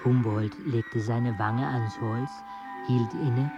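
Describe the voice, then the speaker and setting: A man's voice: mid-range voice, takes it slowly, dull audio, over loud music. An actor playing an eager male naturalist who urges his companion on and tells stories in a radio play. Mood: narrating. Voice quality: urging, animated storyteller.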